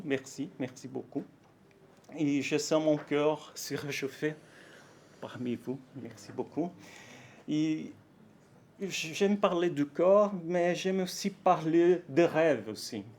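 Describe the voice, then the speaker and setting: A Brazilian man with a Portuguese accent giving a conference talk in French, halting and careful, with frequent fillers and self-corrections. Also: fairly high pitch. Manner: nervous, warm, laughs lightly. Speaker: academic speaker at a conference.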